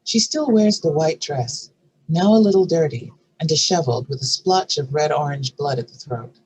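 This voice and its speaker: A narrator reads stage directions from a script. The voice is medium in pitch, and there is faint noise behind it. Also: moderate pace, darkish tone, low-quality recording.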